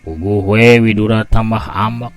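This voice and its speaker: A man from West Java telling a martial arts story slowly, with vivid sound effects. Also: lowish voice, steady tone, soft background music. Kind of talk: scripted storybook narration.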